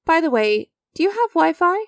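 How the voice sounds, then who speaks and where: A woman's voice: very high-pitched, very animated, normal speed, vocal fry, dry recording, clean studio sound, exaggerated voice. A woman playing an enigmatic, creepy stranger who strikes sinister deals in a dramatic audio roleplay, teasing and theatrical with a smug, coaxing manner. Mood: smug, playful.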